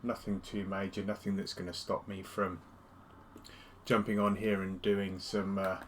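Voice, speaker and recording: A male gaming streamer talks through his round in a casual, friendly ramble. His voice sits a little low and sounds congested from a cold. Faint noise hums underneath. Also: unhurried. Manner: chatty, relaxed. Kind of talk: casual live-stream commentary.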